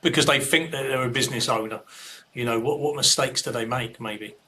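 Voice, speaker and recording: A man's voice: mid-range voice, a little fast, pushed and bright. A man in his fifties hosting an interview, asking loosely phrased, rambling questions. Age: 50s. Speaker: podcast host. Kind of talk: casual interview conversation.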